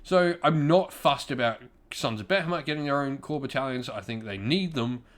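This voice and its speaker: A man with a medium-pitched voice hosts a gaming channel. He talks in an informal, conversational style, offering commentary and speaking straight to his viewers. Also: normal speed. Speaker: YouTube gaming channel host. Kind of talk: casual opinion commentary to viewers.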